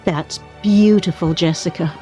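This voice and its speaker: An actress playing a composed, gently admonishing wife in a radio play, with a gentle but firm manner. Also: female voice, on the low side, fairly even intonation, unhurried, faint music behind, natural voice. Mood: warm.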